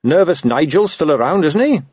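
A man's voice: on the high side, very animated, moderate pace, a little mellow, slightly rough, no echo, muffled recording, pitched above the performer's own voice. A man voicing a polite, earnest policeman who coaxes with mild, innocent reasonableness in an audiobook. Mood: mild, coaxing. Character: earnest, polite, slyly persuasive male policeman.

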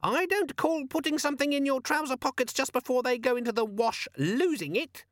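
A man voicing a squeaky, cheeky, sulky little demon that lives in a pocket organizer, with a whiny, nagging manner. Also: lively intonation, fairly quick, fairly bright tone, no room sound, studio quality. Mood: indignant.

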